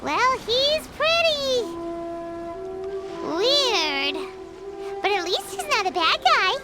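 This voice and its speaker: A squeaky cheeky little fairy companion. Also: female voice, sing-song, drawn-out words, over loud music, full character voice. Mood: wry. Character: tiny floating fairy companion, chirpy and cheeky.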